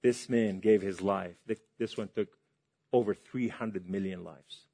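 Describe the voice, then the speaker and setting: A man from Lebanon preaching a testimony sermon to a large hall, impassioned and swinging between loud and hushed. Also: on the low side, measured pace, vocal fry, slightly rough.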